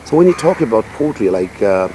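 A middle-aged man whose first language is Nepali, answering interview questions about his writing in a measured, reflective way with many "you know" fillers, seated outdoors in a garden. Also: on the low side, big pitch swings, dark tone, poor audio. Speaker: poet and writer, interview guest. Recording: outdoor recording with noticeable background noise.

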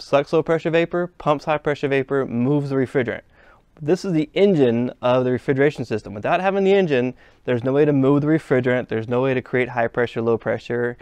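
A man's voice: medium pitch, a little mellow, clear, resonant tone, no room sound. A man teaching a hands-on trade lesson, explaining step by step as he works with parts at a workshop bench. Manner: plain, step-by-step explaining while handling parts. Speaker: HVAC instructor. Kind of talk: hands-on technical demonstration.

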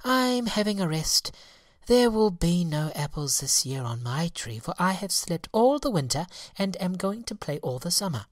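A small lazy storybook fairy, played by a woman, stubborn and petulant. The voice is lifted well up and moves about in a lively, dry recording. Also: fairly low pitch, moderate pace, no room sound, pitched above the performer's own voice. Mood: idle, self-satisfied. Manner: petulant, calling out.

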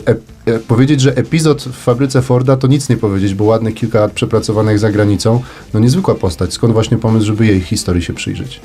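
Low-voiced man hosting an interview. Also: fast talker, warm tone. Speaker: interview host. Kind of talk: broadcast interview, hesitant phrasing.

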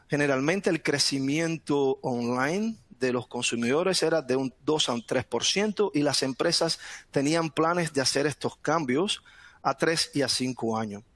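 A middle-aged man from Cuba giving a webinar presentation over a headset from home, relaxed, often repeating words and adding "¿no?". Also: on the high side, dry recording. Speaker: guest speaker, sales manager. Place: home room indoors. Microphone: headset on video call.

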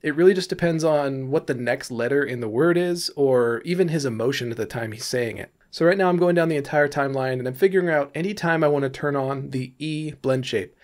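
A man's voice: medium pitch, brisk, few pauses, darkish tone. Casual man explaining a workflow. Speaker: tutorial presenter, animator. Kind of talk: casual tutorial narration.

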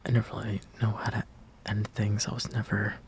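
A young man performing an intimate roleplay monologue as a lovestruck, insecure friend, in a hesitant, breathy half-whisper that trails off. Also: on the low side, monotone, brisk, slight background noise. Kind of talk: soft intimate roleplay monologue, partly improvised.

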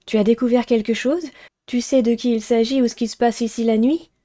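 Woman playing a nosy high-school girl reporter. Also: fairly even intonation, fairly quick, warm tone, close to an everyday voice. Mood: prying.

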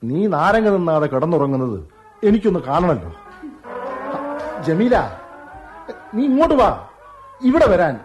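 A man's voice: on the high side, sing-song, measured pace, pauses often, music in the background. An actor playing a playful, stubborn young husband who teases and coaxes his wife. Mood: coaxing. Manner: teasing, cajoling, mock-threatening. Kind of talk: radio play acting.

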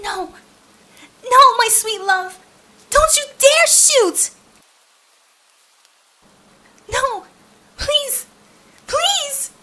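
A woman playing a deranged, possessive vampire ex-girlfriend in an ASMR horror roleplay, theatrical and drawn-out, with sugary endearments. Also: very high-pitched, animated, bright, forceful tone, heavily acted. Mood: frantic pleading. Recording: noisy background ambience.

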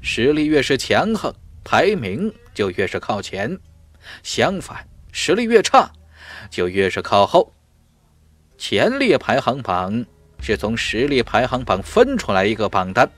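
A man's voice: mid-range voice, dry recording. A man narrating a fantasy audiobook in a dramatic, emphatic storytelling manner. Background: music.

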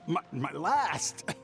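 A man's voice: a little high, gravelly, fairly bright tone, full character voice. A man playing a nervous, cowardly young swindler in an anime game, stammering and hesitant. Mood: uneasy. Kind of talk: anime game character dialogue.

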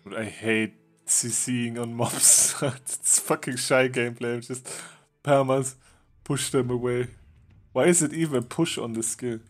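A man casually chatting with a friend while they play a game together, relaxed and joking, laughing now and then. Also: medium pitch, unhurried. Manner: relaxed, joking, chuckles often. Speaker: game streamer. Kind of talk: casual gaming chat with a friend.

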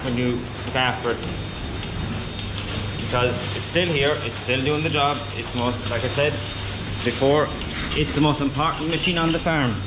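A man's voice: medium pitch, moderate pace, pauses often. A man in his twenties with an Irish accent, chatting casually as a dairy farmer filming a vlog while he works. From Ireland. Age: 20s. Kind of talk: casual farm vlog chat while working. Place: large metal-roofed milking parlour. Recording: echoey milking parlour, loud machinery noise.

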